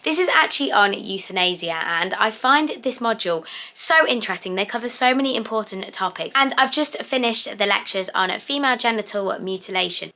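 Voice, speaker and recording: A young woman, slightly croaky, chatting upbeat and directly to viewers in a day-in-the-life vlog. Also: medium pitch, pushed and bright. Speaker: vlogger and medical student.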